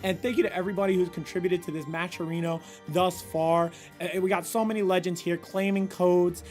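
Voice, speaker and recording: A man hosting a fighting-game tournament stream, hyped and stumbling over his words, a little hoarse from cheering, with game music behind. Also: high voice, steady tone. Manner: hyped, rapid shout-outs with frequent stammered restarts. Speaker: tournament host and commentator. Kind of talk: energetic esports stream commentary.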